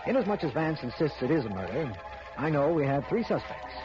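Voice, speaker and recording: An actor playing a patient district attorney in a 1940s radio drama, formal and dryly wry. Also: male voice, fairly high pitch, big pitch swings, fairly quick, music in the background. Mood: measured, official. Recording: muffled, noisy old radio recording.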